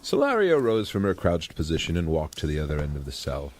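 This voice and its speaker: Low voiced guy narrating an audio drama, really lively delivery, slight noise behind. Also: fairly quick. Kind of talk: scripted dramatic story narration. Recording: faint background noise.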